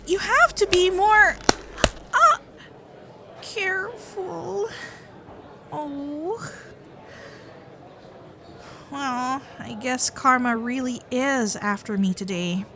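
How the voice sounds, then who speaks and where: A woman voicing a sweet, playful fox-spirit girlfriend in an ASMR roleplay, coy and affectionate, with soft hesitations. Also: high-pitched, lively intonation, drawn-out words, pauses often, heavily acted. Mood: playfully flustered. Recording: festival crowd and fireworks sound effects.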